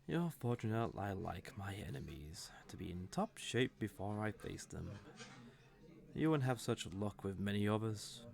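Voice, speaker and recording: Villain for roleplay audio drama, male actor: smug, flirty, teasing male enemy, gravelly, takes his time. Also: on the low side, a little mellow, full character voice. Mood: smug, menacing. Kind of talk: scripted roleplay audio drama, addressed to the listener.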